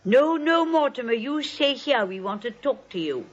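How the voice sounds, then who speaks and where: A woman's voice: high voice, animated, normal speed. An older woman playing a sweet, genteel, batty aunt in 1940s radio comedy style, with a fluttery, polite manner. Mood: sweet, fussy. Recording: dull vintage 1940s radio recording.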